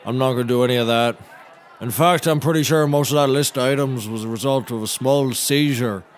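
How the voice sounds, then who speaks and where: Half-hearted handyman attorney, comedy podcast, male actor: average pitch, dry, matter-of-fact, dismissive. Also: brisk, a faint crowd, slight background noise, close to an everyday voice. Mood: deadpan, dismissive. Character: deadpan, half-hearted maintenance man turned lawyer. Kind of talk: scripted audio comedy acting.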